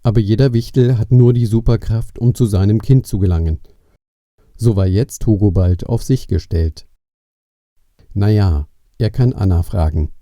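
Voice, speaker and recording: A man's voice: fairly low pitch, moderate pace, mellow, dry recording, studio quality. A man narrating a scripted Christmas story for children as its storyteller.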